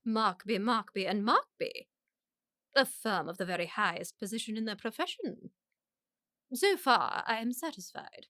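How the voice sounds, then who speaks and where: Haughty aristocratic aunt, upper-class English, highish swooping voice, pompous radio-comedy grandeur. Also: female voice, expressive, sharp tone, dry recording, clean studio sound. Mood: imperious, sceptical. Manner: pompous, emphatic, grandly drawn-out pronouncements. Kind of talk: radio play comedy of manners.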